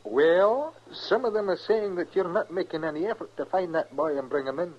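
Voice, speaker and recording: An earnest frontier townsman played by a man in a vintage radio western. His voice sits a little high, with a folksy, hesitant way of talking, and the sound is dull and muffled. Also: normal speed, slight background noise, close to an everyday voice. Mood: hesitant, concerned. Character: earnest, worried frontier townsman bringing warnings. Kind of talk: old-time radio western acting.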